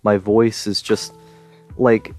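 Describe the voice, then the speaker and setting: A young man talking to camera in a rambling monologue with a droning, monotone voice, full of ums, restarts and frustrated swearing. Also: on the low side, slow pace, faint music behind. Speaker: YouTuber. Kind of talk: personal monologue.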